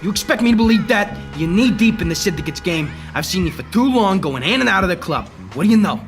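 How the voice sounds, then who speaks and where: A man's voice: high voice, animated, fast, faint music behind. A young man playing a tough, dry private detective with clipped delivery in a noir radio drama. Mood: threatening. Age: young adult. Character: hard-boiled male private detective, tough, dry, determined. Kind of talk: 1940s radio noir acting.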